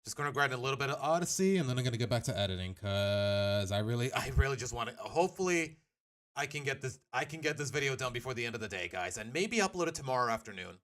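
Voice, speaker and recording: A man's voice: mid-range voice, animated, fast talker, a bit bright. A man live-streaming a video game, chatting casually to his viewers and thinking aloud as he plays. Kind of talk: casual live-stream chat while playing.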